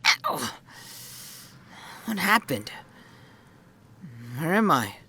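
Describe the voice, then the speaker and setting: A man's voice: sits high, sing-song, very slow, sharp tone, husky, full character voice. A man playing a young former police officer in an audio drama, earnest, hesitant and often puzzled. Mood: dazed, confused. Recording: background music under the voice.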